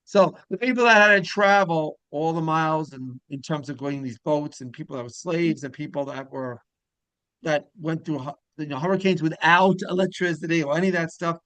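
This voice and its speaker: A man's voice: fairly high pitch, a little fast, fairly bright tone, no echo, rough recording. A man hosting a casual interview, talking in a rambling way that circles back mid-sentence. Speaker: podcast host interviewer.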